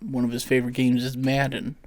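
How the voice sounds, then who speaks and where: A man's voice: medium pitch, completely flat delivery, a little fast, a crowd in the background. A man hosting a casual group chat among friends, talking in an easygoing, joking way. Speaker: podcast host.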